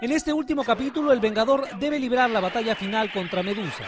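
A man's voice: very high-pitched, fast. A man narrating a scripted television feature as a reporter, in a dramatic storytelling manner. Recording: studio voice-over with loud music bed. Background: loud music.

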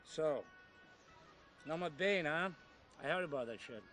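A jovial Russian gangster in a game cutscene. His high voice swings widely and takes its time over boisterous teasing in a Russian accent. Music plays behind him. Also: lively intonation, a bit bright. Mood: mock grievance. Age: middle-aged. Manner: boisterous, teasing, drawn-out delivery. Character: brash, foul-mouthed, jovial Russian male gangster. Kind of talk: live-action game cutscene acting.

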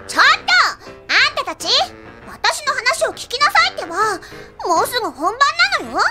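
A woman's voice: very high-pitched, sing-song, normal speed, over music. A woman playing a bossy, easily exasperated teenage idol leader in an anime audio drama, haughty and scolding.

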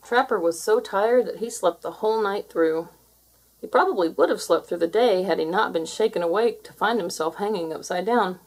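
A woman reading a children's storybook aloud as an expressive, scripted narration. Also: mid-range voice, moderate pace, poor audio. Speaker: storybook reader.

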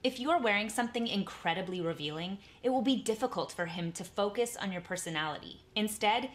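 Calm, earnest young woman giving advice. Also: medium pitch, fairly quick. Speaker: YouTuber in clips. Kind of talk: earnest advice talk to camera. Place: small home room, indoors.

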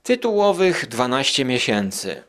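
A male podcast host talks in a casual, rambling review style. He muses aloud and argues back and forth with himself, in a voice of middling pitch. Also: big pitch swings, slow pace, a bit bright.

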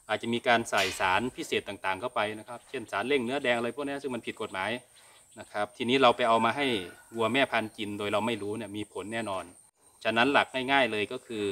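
A man's voice: mid-range voice, bright, forceful tone. A middle-aged man from Thailand explaining things informally as a host answering viewer questions, with frequent polite particles. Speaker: cattle farming channel host. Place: open-sided cattle barn.

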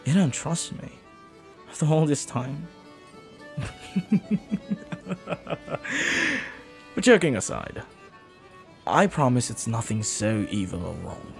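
A man playing a flirty, earnest pirate captain in an intimate audio roleplay, speaking softly and haltingly with tender devotion. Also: medium pitch, sing-song, vocal fry, faint music behind. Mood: playful, teasing. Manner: soft, halting, tender, sometimes near whisper.

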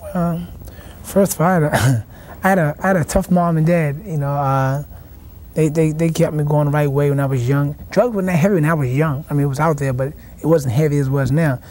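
A young man, a boxing champion answering in a TV studio interview, halting and restarting his words. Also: a little high, fairly even intonation, a little mellow. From South Carolina, raised in Washington, D.C. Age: young adult. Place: TV studio set.

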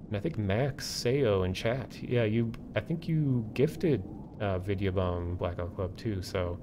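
A man chatting casually as a streamer, rambling and halting as he thinks aloud. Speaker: streamer and online community host. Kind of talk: casual livestream chat. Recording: low rumbling background noise.

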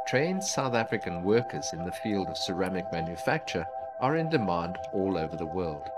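A man giving scripted documentary narration about a factory in a measured, explanatory delivery. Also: deep voice. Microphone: studio voice-over. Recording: voice-over with clear background music.

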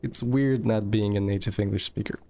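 A man's voice: fairly low pitch, steady tone, moderate pace, dark tone. A young man from Moldova, chatting casually while streaming a game, swearing freely and reading chat aloud. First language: Russian.

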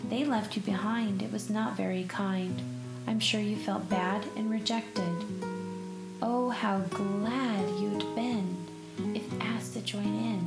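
Slow-paced woman reading a children's storybook. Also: mid-range voice, creaky. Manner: expressive, measured storytelling. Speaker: storybook narrator. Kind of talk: children's picture book read aloud, rhyming. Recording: roomy echo, music behind. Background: plucked guitar music.

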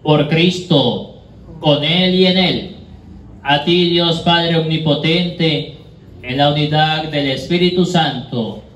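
Solemn priest in an echoey room. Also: male voice, fairly high pitch, fairly bright tone. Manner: solemn, measured recitation. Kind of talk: liturgical prayer at Mass. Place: small bare indoor room. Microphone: handheld microphone. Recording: echoey room, distant, background noise.

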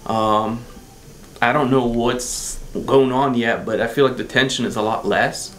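A middle-aged man from Egypt answers as a patient, earnest and brief, pausing to find words. His voice sits in a middle register. The clinic room echoes, with a faint hiss behind. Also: mid-range voice, steady tone, a bit bright. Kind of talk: interview answers. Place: dental clinic treatment room.

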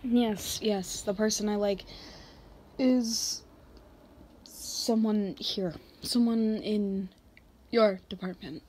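Slow-talking, reserved female boss acted by a woman. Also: medium pitch, a little mellow, a little hiss or hum, low-quality recording. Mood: confiding, hesitant. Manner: halting, measured delivery with sighs. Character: reserved female office boss, guarded but softening. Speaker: ASMR roleplay performer. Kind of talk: ASMR roleplay, slow intimate acting.

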